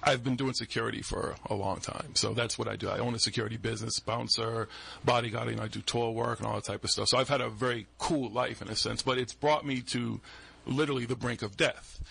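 A man's voice: mid-range voice, steady tone, brisk, vocal fry, fairly bright tone, slightly rough. A man, a podcast guest telling rambling stories in a studio and acting out the voices in them. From Boston. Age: 40s. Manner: rambling, restarts often, acts out quoted voices. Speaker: guest, security business owner. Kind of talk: casual storytelling interview.

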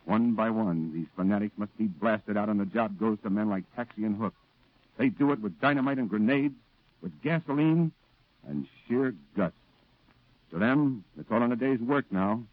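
An actor playing a grave, steady American war storyteller, narrating emphatically in the style of a 1940s radio drama. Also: male voice, fairly low pitch, moderate pace, dry recording, natural voice. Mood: grim, urgent. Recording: muffled old radio broadcast, music underneath.

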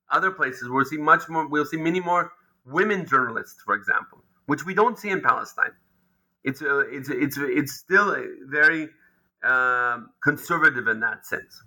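A man speaks as a history teacher answering an interviewer, his voice bright and edged. He thinks aloud, stopping and restarting as he lays out a point, and sits a little above the usual male pitch. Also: moderate pace, low-quality recording. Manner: thoughtful, explanatory, with frequent ums and restarts. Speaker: historian and lecturer.

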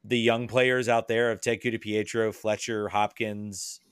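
A man with a lowish voice talks soccer on a podcast, rambling and restarting his sentences as he goes. The sound is dry and close, with little room around it. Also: flat delivery, a little slow, clean tone, dry recording. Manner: rambling, many restarts and fillers. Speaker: podcast guest, soccer fan. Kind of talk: casual podcast chat.

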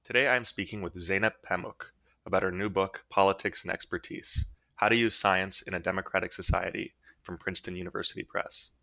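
Very deep, bright-toned male podcast host. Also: steady tone, brisk, creaky, dry recording. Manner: formal, read-aloud delivery. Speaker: podcast host, assistant editor. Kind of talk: scripted introduction and interview questions.

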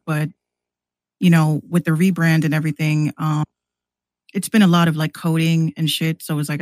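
A woman's voice: deep voice, a little mellow, dry recording. A woman chatting casually as a podcast guest, telling stories with quoted asides. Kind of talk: casual chat.

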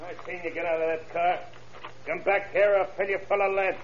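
An actor playing a menacing, bossy American highway robber in a classic radio drama, with slangy tough-guy delivery. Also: fairly even intonation, fairly quick, close to an everyday voice. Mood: threatening. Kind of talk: 1940s-style radio drama acting. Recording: muffled old radio recording, faint noise.

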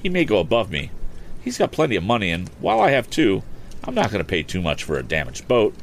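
A man voicing a cautious young male inventor, musing quietly to himself. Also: fairly low pitch, wildly swooping pitch, fast, gravelly, a little hiss or hum, voice pushed up, heavily acted. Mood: worried.

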